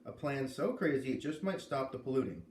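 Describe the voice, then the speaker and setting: A man doing an expressive storybook read-aloud, pausing to explain and comment as he goes. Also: mid-range voice. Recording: echoey room with clear background noise.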